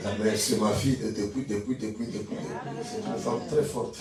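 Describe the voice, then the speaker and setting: A man's voice: lowish voice, fairly even intonation, a little fast, distant mic, crowd noise. A man from Angola, a guest pastor and not a native French speaker, preaching a loose, chatty sermon and drawing the congregation into call and response. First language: not French; English and Portuguese speaker. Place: church hall with congregation. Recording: echoey hall, heard from afar.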